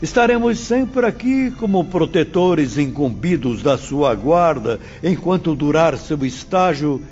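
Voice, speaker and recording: A man's voice: on the high side, expressive, unhurried, darkish tone, lo-fi sound, soft background music. An actor playing a protective male spirit mentor in an audio drama, ceremonious and reassuring.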